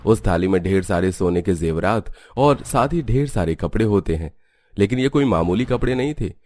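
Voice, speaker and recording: A man's voice: on the low side, animated, normal speed, a little mellow, no echo, studio quality. A man reading scripted narration for a cartoon story.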